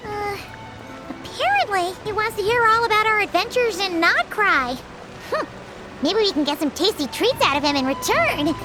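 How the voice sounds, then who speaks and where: Tiny squeaky fairy mascot from an anime game, super high, bouncy swoopy chatter, cheeky. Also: female voice, lively intonation, moderate pace, over loud music, low-quality recording. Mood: chatty, curious. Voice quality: shrill, squeaky. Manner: speaks of herself in third person, exclamatory.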